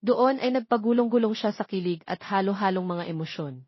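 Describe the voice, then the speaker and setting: A woman's voice: medium pitch, brisk, slightly rough, dry recording, lo-fi sound. A woman with a Filipino accent reading a scripted romance story as narrator.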